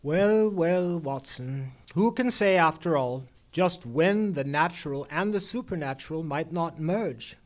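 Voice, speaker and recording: An actor playing a knowing gentleman detective in an old-time radio play, speaking in formal, measured phrases with dramatic pauses. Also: on the high side, lively intonation. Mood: knowing, deliberate.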